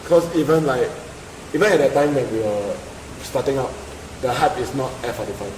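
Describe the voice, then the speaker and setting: A man with a Singaporean accent explaining his views in casual podcast talk, with punchy, animated phrasing. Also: medium pitch, a little fast. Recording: echoey room with clear background hiss.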